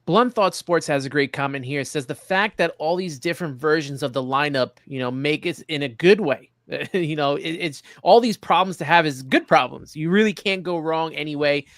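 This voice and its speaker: A man's voice: on the high side, normal speed, a bit bright, no echo, studio quality. A man hosting a sports show, chatting through baseball analysis in a conversational way.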